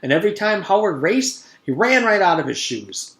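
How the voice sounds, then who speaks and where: Man voicing an eager, excitable schoolboy storyteller. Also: sits high, moderate pace, creaky, roomy sound, pitched above the performer's own voice, full character voice. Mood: excited, boastful. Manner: animated, earnest, boastful storytelling with kid slang. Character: eager schoolboy telling an excited, gory tall tale.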